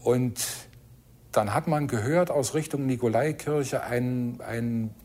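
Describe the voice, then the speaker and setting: A man from East Germany gives an eyewitness recollection, halting and deliberate, careful about detail. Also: mid-range voice, darkish tone. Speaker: eyewitness interviewee. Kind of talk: spontaneous spoken recollection.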